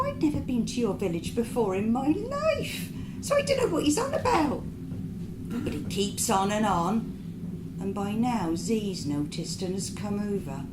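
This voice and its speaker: A woman reading a comic monologue live as a naive, chatty narrator, confiding and dropping deadpan asides. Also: mid-range voice. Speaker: writer reading her own comic short story. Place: indoor performance venue. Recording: echoey live venue recording with background noise.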